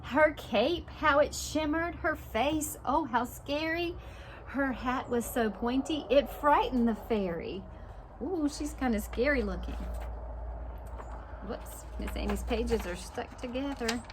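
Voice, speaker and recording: A woman's voice: high voice, expressive, slow pace, a little hiss or hum, low-quality recording. A woman preschool teacher reading a storybook aloud and adding simple explanations and questions for young children.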